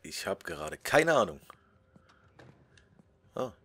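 A man's voice: low voice, very animated, slow pace, a bit bright, husky. A man casually commenting on his gameplay, joking and muttering asides, sometimes dropping to a whisper while he reads. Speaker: let's play host.

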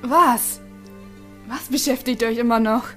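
A woman's voice: fairly high pitch, measured pace, soft background music, lightly acted. A woman playing an exasperated, nervous teenage girl in an anime audio drama, with a nagging big-sister manner. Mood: alarmed.

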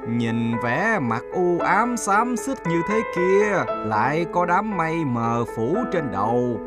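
A man's voice: on the high side, very animated, takes it slowly, loud music behind the voice. An actor playing a theatrical village fortune-teller in a cartoon folktale, a man who speaks in a pompous, portentous way. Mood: ominous.

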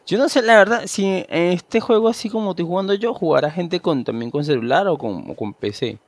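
A man's voice: high-pitched, brisk. A man in his thirties with a Venezuelan Spanish accent, chatting casually while streaming a game and rambling with easy restarts. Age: 30s. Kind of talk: casual gameplay chat. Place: small room at home. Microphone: headset.

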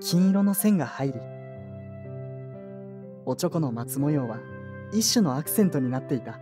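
A woman playing an earnest, polite young man in an audio drama, soft-spoken and sincere. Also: deep voice, lively intonation, moderate pace, over music. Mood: reflective narrating. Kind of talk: Japanese audio drama acting and first-person narration.

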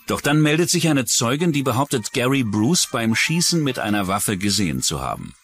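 Male documentary narrator, true-crime script, mid pitch with animated swings, faint music beneath. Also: fairly quick.

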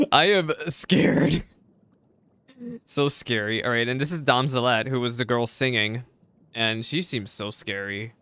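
A man's voice: mid-range voice, big pitch swings, takes it slowly, long pauses, a bit bright, dry recording. A young man giving casual live reaction commentary as a streamer, close on the mic. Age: young adult. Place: indoor streaming setup. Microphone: close desk microphone.

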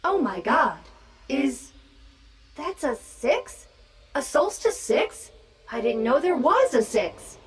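A small flying drone companion from a video game, female and very chatty. Her voice is high and swings widely as she quips, with clear game noise around her. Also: very animated, slow pace, pauses often, heavily acted, poor audio. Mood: awed.

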